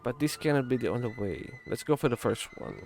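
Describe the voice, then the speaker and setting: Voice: medium pitch, a little fast. A streamer giving casual, brief reaction commentary while playing a video game. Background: game music.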